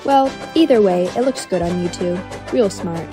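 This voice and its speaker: A woman playing a gracious, kindly female steam engine in a comic dub, polite and gentle. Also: fairly low pitch, animated, normal speed. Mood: fond. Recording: loud music behind.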